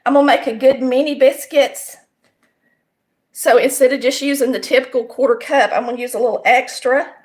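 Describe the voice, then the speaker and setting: A middle-aged woman with a raspy, hoarse voice and a Southern US accent, chatting folksily as she demonstrates a recipe step by step in her home kitchen. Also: high voice, steady tone, a little fast. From American South. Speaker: home cooking show host. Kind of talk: casual cooking demonstration.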